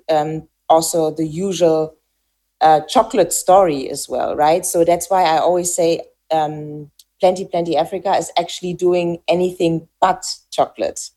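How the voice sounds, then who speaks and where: A woman giving reflective interview answers, pausing often with fillers and restarting her sentences as she works out her thoughts. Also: on the low side, fairly even intonation, dark tone. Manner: hesitant, frequent fillers and restarts. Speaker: interviewee, farm founder.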